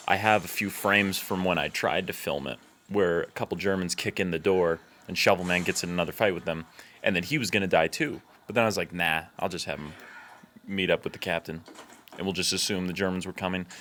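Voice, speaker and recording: A man's voice: sits low, fairly bright tone, slight background noise. A man giving casual, unscripted commentary as a filmmaker, with a blunt, informal, sweary way of talking.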